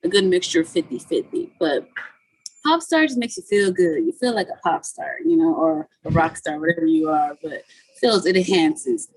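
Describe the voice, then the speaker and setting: A woman's voice: deep voice, expressive, moderate pace, husky, no room sound, poor audio. A young woman from Guyana, a musician guest chatting casually on a video call interview, with a laid-back manner full of "like" and "you know".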